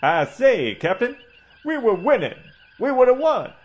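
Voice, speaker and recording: A man's voice: very high-pitched, very animated, measured pace, pitched above the performer's own voice, heavily acted. A man voicing a pompous old male ship's doctor in a comedy audio drama, talking in a florid, preachy way.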